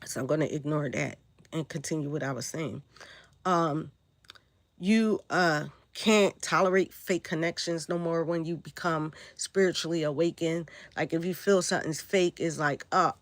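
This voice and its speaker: A woman from Brooklyn with a New York accent, hoarse and raspy from a sore throat, talking loosely on a live stream and often asking "right?". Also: low-pitched, moderate pace, dry recording. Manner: rambling, loose, frequent "right?" and "like". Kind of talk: casual live-stream talk answering chat.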